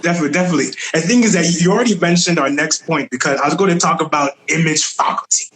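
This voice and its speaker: Higher-voiced man interviewing, echoey room. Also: racing, fairly bright tone, a little hiss or hum. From Nigeria. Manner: brief affirming interjections, then asks questions. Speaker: interview host. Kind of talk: casual interview.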